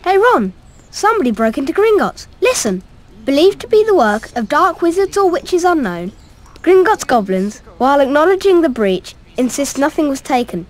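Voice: sits high, very animated, moderate pace. An actor playing an earnest, inquisitive young schoolboy wizard in a video game. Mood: curious. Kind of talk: video game cutscene acting.

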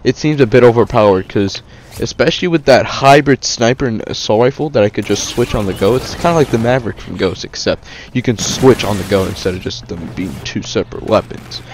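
A man's voice: on the low side, brisk. A young man casually reviewing a shooter game over gameplay, rambling with many fillers and restarts. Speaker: gaming YouTuber.

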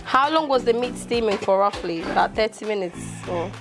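A woman's voice: mid-range voice, expressive, fairly quick. A young woman hosting a vlog, chatting, asking questions and laughing often in an outdoor restaurant kitchen yard. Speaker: vlogger host. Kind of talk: casual vlog chat and interviewing. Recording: music playing loudly behind.

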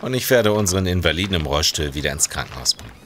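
A man's voice: low voice, lively intonation, very fast, a bit bright, slight background noise. An actor playing a dry, chiding know-it-all policeman in an audio drama. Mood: dry, teasing.

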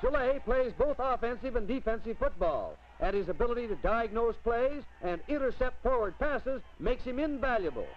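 Male newsreel commentator, American accent, very high voice, swooping lively play-by-play, vintage sports style. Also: moderate pace, hardly any pauses. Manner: animated play-by-play delivery. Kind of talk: 1950s newsreel game commentary. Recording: dull, noisy vintage film soundtrack.

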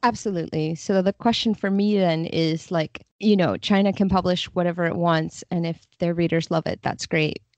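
A woman's voice: lowish voice, fairly even intonation, normal speed, hardly any pauses, no room sound. A woman talking casually and thoughtfully as a guest translator in a podcast interview, explaining her views.

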